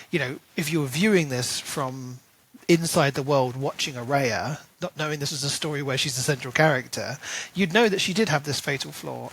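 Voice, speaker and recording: A man with a somewhat higher voice co-hosts a casual book-discussion podcast. He talks in long, animated run-on stretches and leans on fillers as he works through his thoughts. His delivery is loose and conversational rather than scripted. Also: slightly rough, low-quality recording. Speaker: podcast co-host.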